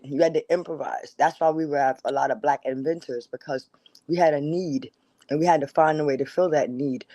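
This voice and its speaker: A woman's voice: sits low, warm tone, no echo. A middle-aged woman speaking earnestly and plainly about her own life as a talk show guest. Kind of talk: personal reflection in conversation.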